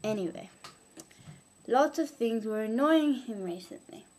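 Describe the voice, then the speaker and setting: A woman's voice: on the high side, animated, measured pace, darkish tone. A young woman telling improvised, humorous moral stories informally, with a playful, mock-instructive manner. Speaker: amateur storyteller.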